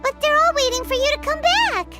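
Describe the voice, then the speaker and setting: A squeaky little companion sprite. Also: female voice, brisk, loud music behind the voice. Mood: curious, eager. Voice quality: high, childlike and bouncy. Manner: talks of herself in the third person. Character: tiny floating female companion, excitable and chatty. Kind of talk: game dialogue.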